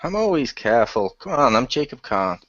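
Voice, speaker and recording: A man's voice: medium pitch, very animated, brisk, a bit bright, no room sound, lo-fi sound. A man playing in an improvised audio role-play, bantering in character with a sardonic manner.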